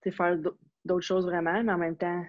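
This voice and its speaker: A young woman from Quebec with a Quebec French accent, chatting casually as an interview guest, relaxed and full of fillers and restarts. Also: on the low side, normal speed, dry recording. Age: young adult. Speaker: interview guest, university hockey player. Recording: slightly dull, lo-fi sound.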